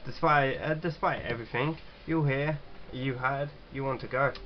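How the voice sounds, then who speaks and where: A man's voice: mid-range voice, normal speed, highs cut off, a little hiss or hum. A man hosting a casual gaming read-aloud, jokey and sweary, stumbling a little as he reads. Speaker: gaming video host.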